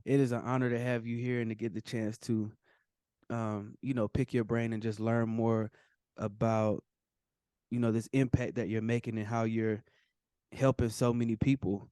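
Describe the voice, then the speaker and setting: A man's voice: mid-range voice, flat delivery, normal speed, dark tone, clean tone, no room sound, studio quality. A man hosting an interview, asking questions in a hesitant way with many fillers. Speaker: host. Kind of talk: podcast interview.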